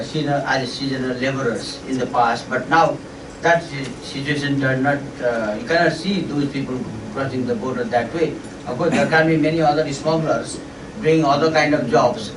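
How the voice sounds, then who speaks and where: A man with a Nepali accent and a medium-pitched voice makes informal panel remarks. He explains in a halting, repetitive way. The recording is distant and echoey, with clear background noise. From eastern Nepal. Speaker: panel discussant.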